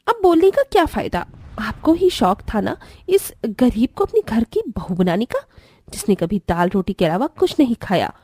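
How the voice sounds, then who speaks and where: A woman's voice: on the high side, big pitch swings, normal speed, warm tone. A woman playing a spoilt, snobbish young sister-in-law who sneers curtly, in a cartoon story. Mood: sneering. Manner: sneering, curt.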